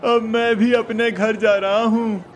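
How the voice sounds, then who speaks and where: A man's voice: high-pitched, little pitch movement, slow pace, some chatter behind, a little hiss or hum. A man voicing a weary, grumbling royal soldier in a Hindi cartoon story.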